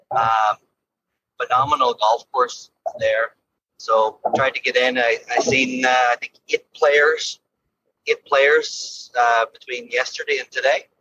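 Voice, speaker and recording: Bright-voiced Irish man talking from a car. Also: medium pitch, muffled recording, a little hiss or hum. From Ireland. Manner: easygoing, laughs often, repeats words. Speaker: golf coach guest. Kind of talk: casual interview chat from a car.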